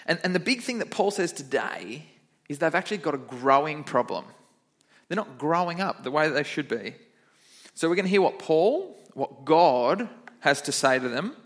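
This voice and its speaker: A young man with a fairly high voice leads a children's sermon in church. He is upbeat and chatty, and he keeps stopping to quiz the kids in the room. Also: big pitch swings, moderate pace, a bit bright. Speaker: kids pastor.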